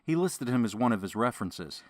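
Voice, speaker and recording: A man acts an affable mortuary doctor in a modern radio play. He explains procedures mildly and a little hesitantly, with a lively mid-pitched voice. The studio sound is dry. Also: fairly quick, no room sound, close to an everyday voice. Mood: troubled. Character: mild, cooperative male mortuary doctor, affable. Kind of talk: modern audio drama acting.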